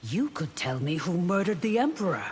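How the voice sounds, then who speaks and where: An actress playing a devout, defiant warrior bodyguard in a video game, blunt and guarded. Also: female voice, lowish voice, very animated, normal speed. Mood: guarded, probing. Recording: long hall reverb, faint noise.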